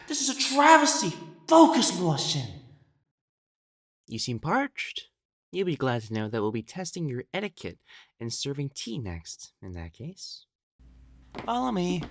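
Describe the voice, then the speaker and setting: Guy acting as a prissy elegant femboy matchmaker, haughty n easily flustered, super swingy pitch, dry close audio roleplay sound. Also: a bit bright, dry recording, heavily acted. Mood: panicked. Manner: prim, formal, stammers when flustered.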